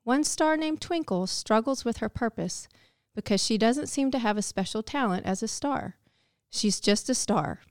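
A woman's voice: fairly high pitch, expressive, moderate pace, dry recording, studio quality. A woman giving a scripted, reflective devotional narration. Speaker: devotional speaker.

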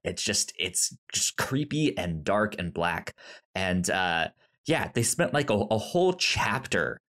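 A man co-hosting a podcast in casual chat, halting and often repeating words as he restarts. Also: low-pitched, sing-song, a bit bright. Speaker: podcast co-host.

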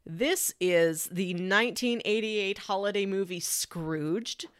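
A woman co-hosting a podcast, pitching movies in a casual, enthusiastic and emphatic way with chatty asides. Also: takes it slowly, clean tone, dry recording, studio quality. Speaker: podcast co-host.

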